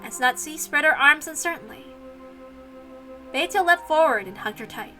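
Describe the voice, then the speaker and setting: Lady narrating a story out loud, chatty asides, bit of music under it. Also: high-pitched, expressive, normal speed. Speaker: reader and player of a visual novel. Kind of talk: read-aloud with casual asides.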